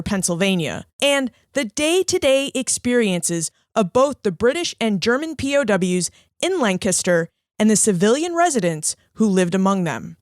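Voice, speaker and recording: Lively, upbeat woman podcast host. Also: fairly high pitch, no echo, clean studio sound. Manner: upbeat, warm and welcoming. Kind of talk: podcast interview hosting, scripted intro.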